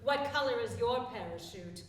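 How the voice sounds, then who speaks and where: A woman's voice: fairly high pitch, expressive, moderate pace, over a noisy crowd, close to an everyday voice. A woman acting a reverent chorus member of a devout commune in a stage play, solemn and incantatory. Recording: distant mic, very echoey theatre.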